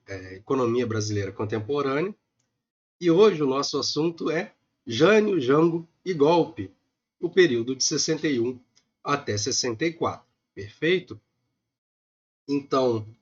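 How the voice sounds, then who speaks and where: A man with a Brazilian accent gives a recorded online economics lecture, a little hoarse from a cold, talking informally with many filler sounds. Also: mid-range voice, highs cut off. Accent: Brazilian Portuguese.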